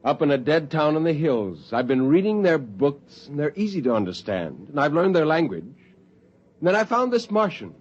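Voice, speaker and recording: An actor playing a brooding, literate spaceman turned zealot, intense and deliberate, in a 1950s radio drama. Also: a little high, very animated, slightly rough. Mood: brooding, quietly menacing. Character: brooding, poetic male spaceman turned cold-blooded zealot. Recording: dull vintage 1950s radio recording.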